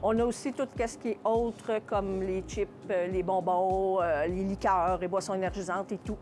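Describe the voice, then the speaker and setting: A woman with a Quebec French accent giving casual interview answers as a shop owner, haltingly searching for her words. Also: mid-range voice, steady tone, a little mellow. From Contrecœur, Quebec, Canada. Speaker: small business owner being interviewed.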